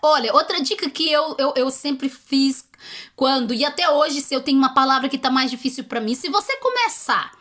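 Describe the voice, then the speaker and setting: A woman's voice: sits high, animated, moderate pace, fairly bright tone. A Brazilian woman vlogger giving casual motivational advice in an encouraging, conversational, emphatic way, with a Brazilian accent. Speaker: vlogger giving language-learning tips.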